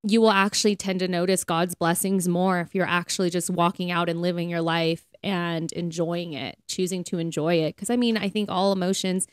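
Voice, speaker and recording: A woman's voice: fairly low pitch, steady tone, brisk, few pauses, clear, resonant tone, no echo, clean studio sound. A woman co-hosting a podcast, talking in an earnest, chatty way in casual conversation about faith. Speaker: podcast co-host.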